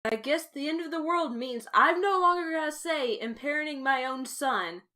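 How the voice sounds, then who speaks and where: A woman playing a worried, bitter, sharp-tongued mother in an amateur comic dub. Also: sits high, expressive, normal speed. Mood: angry, bitter.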